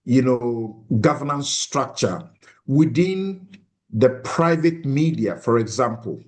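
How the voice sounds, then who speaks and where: A man moderates a webinar panel and poses questions to a guest. His voice is mid-pitched, and he stops and restarts as he works toward each question. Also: expressive, slow pace, darkish tone.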